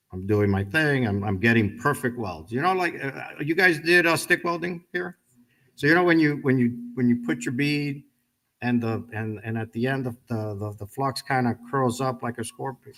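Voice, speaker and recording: A man in his fifties, from the Dominican Republic, giving a joking, animated ceremony speech to a live audience and asking them questions. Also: medium pitch. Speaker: guest speaker at a graduation ceremony. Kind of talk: motivational speech with personal stories. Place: event hall with a live audience.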